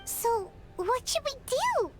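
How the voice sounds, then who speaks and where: A woman voicing a tiny floating fairy companion in a game, excitable and chatty, with a high squeaky childlike voice. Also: slow pace, full character voice. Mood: curious. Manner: bouncy, exclamatory. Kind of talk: game voice acting. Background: game music.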